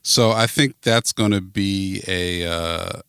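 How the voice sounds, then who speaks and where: A man's voice: deep voice, takes it slowly, vocal fry, fairly bright tone, smooth, no echo, clean studio sound. A man being interviewed as a podcast guest, chatting casually and thinking aloud as he goes. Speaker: podcast guest, network founder.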